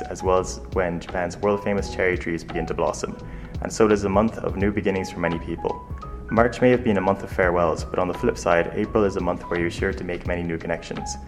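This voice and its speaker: A man from Ireland co-hosting a community radio show, chatting casually with lots of fillers. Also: low voice. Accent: Irish. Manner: relaxed, conversational with many fillers. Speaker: radio co-host. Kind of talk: bilingual community radio chat.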